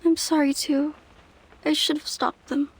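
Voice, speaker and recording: Very high, innocent village girl. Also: level tone, unhurried. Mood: earnest. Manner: gentle, polite, innocent. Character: sweet, naive, earnest village girl. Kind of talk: dramatic video game voice acting.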